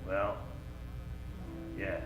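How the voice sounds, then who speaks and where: A man's voice: extremely slow, lo-fi sound. An actor playing a wisecracking, easily flustered private detective in a parody of old-time radio drama, talking in tough-guy slang. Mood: wry, tough. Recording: roomy live stage recording with music.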